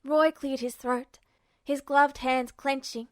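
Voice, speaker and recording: Woman reading a story out loud, pretty high voice, expressive, really dry close sound. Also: slow pace, no echo. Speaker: audiobook narrator. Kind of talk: expressive scripted fiction narration.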